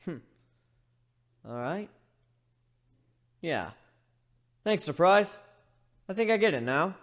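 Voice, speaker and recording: A chatty male griffin voiced by a man tells his own fantasy story. His delivery is wry and conversational, wandering off into asides, and his pitch moves around in an animated way. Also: slow pace. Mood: casual, friendly. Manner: conversational, rambling, self-interrupting. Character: chatty male griffin, wry, rambling first-person narrator. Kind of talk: dramatic reading of fan fiction, storytelling.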